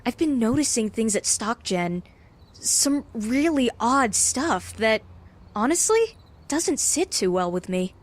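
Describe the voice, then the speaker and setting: Woman acting high-voiced, earnest young intern. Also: big pitch swings, slow, full character voice. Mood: worried, probing. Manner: earnest, coaxing, conversational. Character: earnest, probing young female lab intern, brave. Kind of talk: comic-book audio drama acting.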